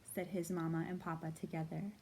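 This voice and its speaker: A woman hosting storytime for young children, reading and talking to them in simple, expressive phrases. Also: low voice, fairly quick, a little hiss or hum. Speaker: children's library storytime host. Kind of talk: storytime read-aloud and singalong for young children.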